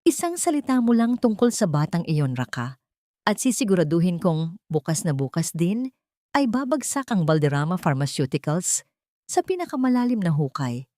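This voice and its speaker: A woman voicing a ruthless, scornful rich woman villain in an audiobook, with a threatening, deliberate, melodramatic delivery. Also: lowish voice, big pitch swings, mellow, no room sound, studio quality.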